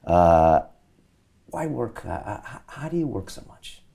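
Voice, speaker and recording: Male interview host with a very low voice, measured and steady, reading an intro then asking questions with plenty of "uh"s. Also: creaky, husky. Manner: measured, steady delivery with frequent "uh" fillers.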